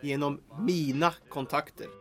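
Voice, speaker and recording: A man's voice: a little high, soft background music, close to an everyday voice. A man playing a flippant, muttering mechanic in an audio drama, with a dry, sarcastic manner. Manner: sarcastic, deadpan.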